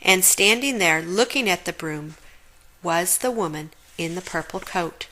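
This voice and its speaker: A woman reading a children's picture book aloud in an expressive storytelling style. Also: lowish voice, a little slow, poor audio. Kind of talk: expressive read-aloud narration.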